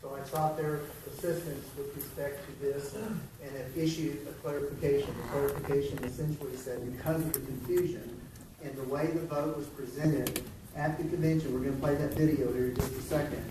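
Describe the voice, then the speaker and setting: A man chairing a committee meeting, explaining a ruling with halting restarts. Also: medium pitch, fairly even intonation. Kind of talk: presiding over a committee meeting. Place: large echoey meeting hall. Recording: echoey hall from far off, noisy.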